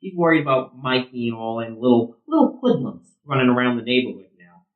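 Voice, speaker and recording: An actor playing a hot-headed, combative middle-aged softball player who drinks beer, profane and sarcastic, in an audio drama. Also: male voice, medium pitch, animated, fairly quick, narrow, tinny audio, close to an everyday voice. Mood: grumbling, conversational. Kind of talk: naturalistic audio drama acting. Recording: roomy, echoing sound.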